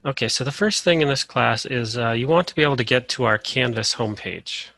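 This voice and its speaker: A man from Colorado leading a casual online class over a video call, relaxed and full of "uh" fillers. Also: medium pitch, a little fast, vocal fry, no echo. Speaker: course instructor.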